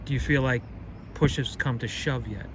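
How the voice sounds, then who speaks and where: A man from the United States puts probing questions in a relaxed, conversational way. His voice sits at an ordinary pitch, and clear traffic noise carries behind him outdoors. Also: moderate pace. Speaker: street interviewer. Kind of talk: street interview questions. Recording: street noise.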